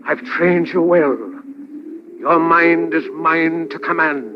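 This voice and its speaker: An actor playing a small, vengeful hypnotist villain in an old radio drama, giving slow, menacing commands. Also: male voice, a little high, steady tone. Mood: menacing, commanding. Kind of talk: old-time radio acting. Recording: noisy, dull old radio recording.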